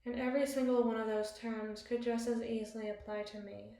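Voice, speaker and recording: A woman playing a battle-scarred, commanding evil queen in an intimate ASMR roleplay, speaking formally and wistfully with deliberate, theatrical pauses. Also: on the high side, level tone, dark tone, lightly acted. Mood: bitter. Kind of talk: ASMR roleplay, slow dramatic monologue. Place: small bathroom, indoors. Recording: echoey tiled bathroom.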